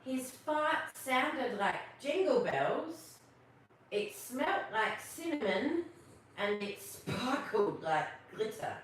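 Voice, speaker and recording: A woman reading a children's story aloud as host, expressive, drawing out her words. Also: high-pitched. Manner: expressive, drawn-out words, questions to listeners. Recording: very echoey, distant mic, faint noise.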